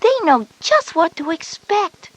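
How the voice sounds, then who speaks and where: A woman's voice: extremely high pitch, big pitch swings, slow, full character voice. A woman playing a knowing, reassuring older sister of school age in a children's educational audio drama.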